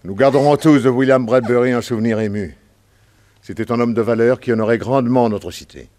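An actor playing a solemn small-town sheriff who speaks hesitantly with fillers, in an old radio drama. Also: male voice, mid-range voice, a little fast, darkish tone, close to an everyday voice.

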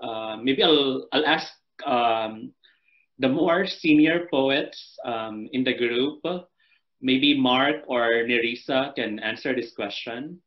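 A man hosting a poetry reading panel on a video call, asking questions gently with many pauses and fillers. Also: mid-range voice. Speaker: panel host and anthology editor. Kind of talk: moderating a reading. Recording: muffled video call audio.

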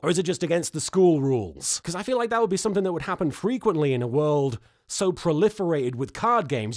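Male British vlog host, thirties, wry and exasperated, lively high-pitched delivery. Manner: sarcastic, exasperated. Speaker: host, episode reviewer. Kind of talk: comedic recap and commentary to camera.

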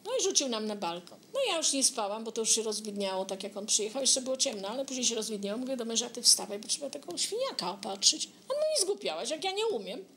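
A woman's voice: fairly high pitch, sing-song, fast talker, hardly any pauses, background noise. An older woman telling anecdotes conversationally, addressing her listener directly and quoting bits of dialogue. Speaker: local resident sharing memories.

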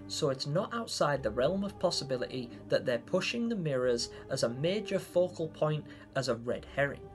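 A man in his twenties hosting a scripted theory video, speaking in an expressive, theatrical storytelling way. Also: mid-range voice. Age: 20s. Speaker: video host. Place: small room at home, indoors.

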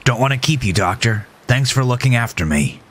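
A man's voice: medium pitch, lively intonation, fairly quick, fairly bright tone, slight background noise, pitched above the performer's own voice, natural voice. A man voicing a polite, uneasy patient in an audio story, hesitant and stammering. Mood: unsure, polite.

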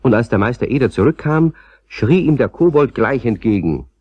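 Male narrator for children's audio drama: husky, expressive storytelling, dry and slightly dull recording. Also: mid-range voice, moderate pace, dry recording. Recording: dull lo-fi cassette, little room sound.

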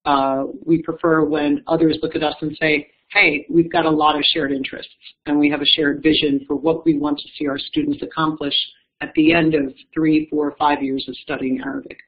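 A woman's voice: deep voice, level tone, breathy edge. Woman on a tinny call line. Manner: measured, explanatory, self-correcting. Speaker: executive director of an education foundation. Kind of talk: panel talk answering audience questions.

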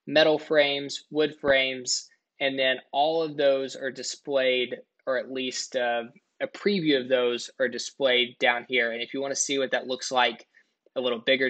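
A man's voice: medium pitch, steady tone, measured pace, a bit bright. Casual man walking through a tutorial. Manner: casual, step-by-step explaining. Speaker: product tutorial presenter. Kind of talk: screen-recording tutorial voice-over.

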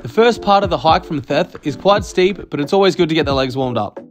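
A man's voice: on the high side, lively intonation, fairly quick. A man narrating his travel vlog in a wry, upbeat voice-over. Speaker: travel vlogger. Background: music.

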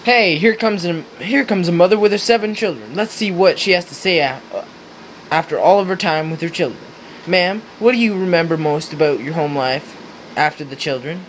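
Young guy as radio host in amateur 30s skit, kinda high voice, scripted questions, slight background noise. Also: normal speed, natural voice, poor audio. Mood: questioning. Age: young adult. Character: earnest 1930s radio interview host.

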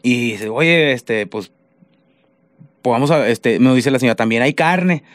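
Man, crude storyteller, mid-pitched, dry close studio sound. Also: unhurried, dry recording. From northern Mexico. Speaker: radio podcast co-host. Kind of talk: casual comedic chat. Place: radio studio. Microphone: headset with studio mic.

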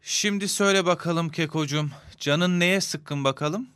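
Man as bright-voiced patient cartoon father. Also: a little high, normal speed, smooth, no room sound, faint music behind, natural voice. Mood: calm, concerned. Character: kind, patient, pious middle-aged father. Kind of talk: children's cartoon dubbing.

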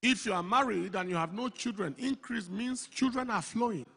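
A middle-aged man preaching a sermon into a handheld mic on a large church stage. He goes from slow, measured phrases to loud, emphatic declarations. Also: high voice. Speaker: pastor. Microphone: handheld microphone. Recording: long hall reverb, slightly breathy.

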